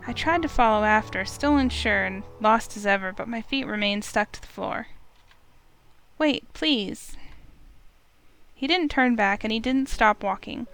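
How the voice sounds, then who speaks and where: A woman narrating a visual novel out loud in a fairly high voice. Her reading is expressive, broken up by dry side comments. Soft game music plays underneath. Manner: expressive narration with wry asides. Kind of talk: dramatic reading of game text.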